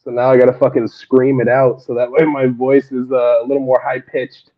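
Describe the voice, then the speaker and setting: A man's voice: mid-range voice, level tone, fairly quick, highs cut off. A man, a stand-up comedian, chatting loosely in an interview about his start in comedy and laughing often. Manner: laughs often, rambling storytelling. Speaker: guest comedian. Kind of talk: casual interview chat.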